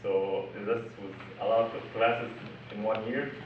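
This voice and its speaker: A man's voice: lowish voice, fairly even intonation, a little slow, noisy background. Young Egyptian-born male panelist, hesitant with many fillers, distant echoey room. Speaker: engineering panelist. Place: college cafe panel. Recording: roomy, distant, dull.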